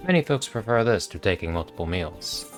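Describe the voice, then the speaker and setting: A man reading video game dialogue aloud in a monotonous voice, with casual asides. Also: fairly low pitch, brisk, over music.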